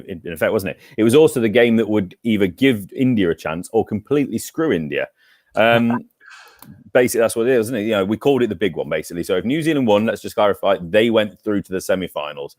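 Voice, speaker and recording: A man's voice: low voice, darkish tone, dry recording, studio quality. A man co-hosting a cricket podcast, chatty and teasing as he reels off match stats. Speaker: podcast co-host. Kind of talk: casual cricket chat and match recap.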